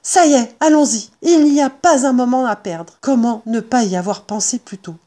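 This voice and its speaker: A woman voicing a bold, excitable father fox in a storybook, exclaiming and commanding. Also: on the high side, normal speed, lightly acted. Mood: excited, urgent. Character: bold, excitable father fox, urgent leader of his family.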